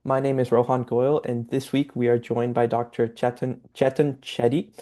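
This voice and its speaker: A man's voice: mid-range voice, level tone, moderate pace, dark tone. A young man hosting a podcast, reading an upbeat intro and then asking casual interview questions. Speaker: podcast host.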